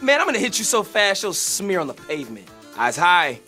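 Casting: brash American super-fast hero for a shooter game; high, swooping pitch, slangy swagger, short punchy callouts over music. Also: male voice, sing-song, sharp tone, heavily acted. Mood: cocky, hyped. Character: cocky, boastful super-fast superhero soldier, young man. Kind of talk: video game operator voice lines.

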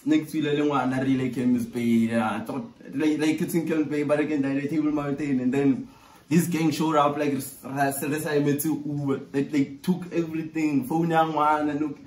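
A South African man in his twenties making a casual, slangy prank phone call, animated, exasperated and pleading, with swearing. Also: fairly high pitch, normal speed. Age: 20s. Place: small bare bedroom indoors. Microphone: camera a short distance away. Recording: roomy echo, distant from mic.

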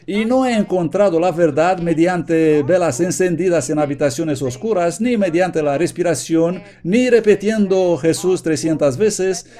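A man's voice: high voice, measured pace, hardly any pauses, mellow. A man giving an earnest, emphatic sermon, reading quotations aloud from his notes. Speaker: preacher. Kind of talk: sermon read from notes, Spanish voice-over.

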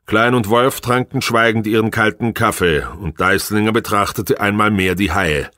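A man's voice: fairly low pitch, steady tone, normal speed, bright, forceful tone, dry recording, studio quality. A man reading a novel aloud as scripted audiobook narration.

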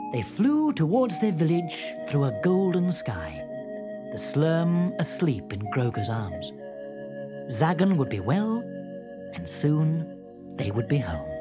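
A man's voice: on the high side, takes it slowly, music in the background. A man narrating a children's story in a theatrical, dramatic style. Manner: theatrical, expressive.

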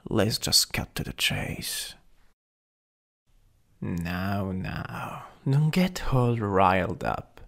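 A man's voice: lowish voice, gravelly, exaggerated voice. A man with an Italian accent playing a jealous, possessive, taunting supervillain in an ASMR roleplay, drawling and teasing, sometimes dropping to a whisper. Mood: smug taunting.

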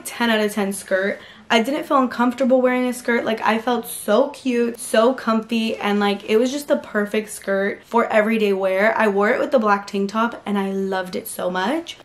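Woman, 20s, fashion vlogger, gushing, chatty, bedroom, camera mic. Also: fairly high pitch. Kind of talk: try-on haul review. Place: pink-lit bedroom.

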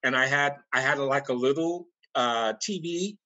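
A man's voice: mid-range voice, moderate pace, sharp tone. A middle-aged man speaking as a guest on a video call from home, rambling with many restarts and fillers. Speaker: dialogue coach and actor, guest. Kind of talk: casual interview over video call. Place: home room indoors. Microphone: video call webcam.

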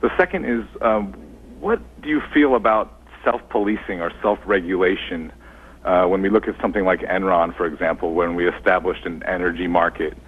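A male caller with a very low voice asks his questions hesitantly, full of ums and uhs. He sounds thin and tinny, as if on an old phone line. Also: brisk, husky. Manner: hesitant, many fillers. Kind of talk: call-in question. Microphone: telephone.

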